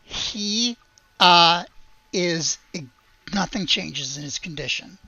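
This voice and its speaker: A woman running a tabletop game as game master, narrating casually and haltingly, sometimes muttering to herself. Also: on the low side, lively intonation, sharp tone, breathy edge, dry recording. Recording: dull, slightly lo-fi audio.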